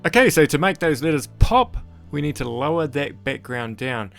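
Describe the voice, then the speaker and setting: A man with a croaky voice casually narrating a woodcarving tutorial in voice-over, rambling with lots of "sort of, like". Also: fairly high pitch, sing-song, normal speed, a bit bright, faint music behind. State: croaky voice after illness. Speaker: woodcarving channel host.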